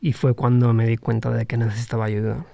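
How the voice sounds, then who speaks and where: A man speaks slowly through a personal podcast reflection, often pausing and restarting his sentences. His voice is gravelly and mellow rather than bright. The sound is dry and close, with no room echo. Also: mid-range voice, level tone. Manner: hesitant, thoughtful, with frequent restarts. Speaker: podcast host.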